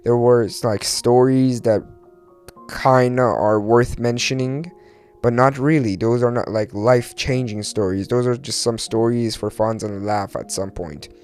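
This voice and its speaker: A man's voice: mid-range voice, fairly even intonation, unhurried, darkish tone, soft background music. A man from Iran who later moved to Turkey talks alone as a podcast host in a rambling, confessional way, hesitant and self-deprecating, swearing casually.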